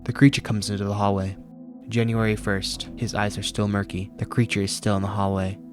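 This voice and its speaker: A man's voice: lowish voice, level tone, a little fast, music in the background. A man hosting a video review, narrating and commenting from a script. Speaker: YouTube host reviewing horror videos.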